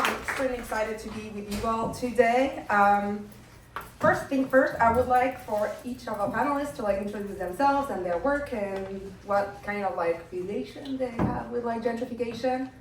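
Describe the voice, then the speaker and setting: A woman's voice: fairly high pitch, normal speed, bright, forceful tone, some chatter behind. A woman moderating a panel, talking casually with many "like" fillers. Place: crowded hall. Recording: echoey room, distant mic, noise.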